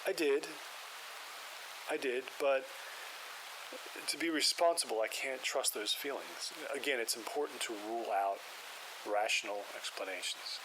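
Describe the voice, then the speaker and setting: Man as level-headed paranormal investigator in an audio drama. Measured, clinical delivery, middle pitch. Heavy rain hiss under him. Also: natural voice. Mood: calm. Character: calm skeptical male paranormal investigator. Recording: loud rain noise and hiss.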